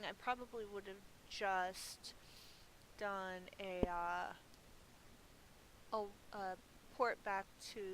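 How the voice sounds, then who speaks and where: A woman's voice: mid-range voice, fairly bright tone, low-quality recording. A woman giving casual live gameplay commentary as a streamer, chatty and thinking aloud. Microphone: budget gaming mic. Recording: faint hiss from a cheap microphone.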